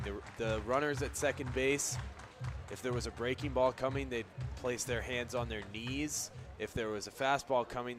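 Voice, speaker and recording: A man giving conversational, explanatory color commentary during a live baseball radio broadcast. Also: medium pitch. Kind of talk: conversational live game analysis. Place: outdoor baseball stadium. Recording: clearly noisy outdoor stadium background. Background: outdoor ballpark ambience.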